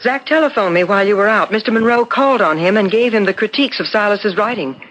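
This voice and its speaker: An actress playing a suspicious, persistent amateur-sleuth wife, speaking pointedly and articulately in a 1970s radio mystery. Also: female voice, medium pitch, quick pace, a bit bright, lightly acted, rough recording. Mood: insistent, suspicious.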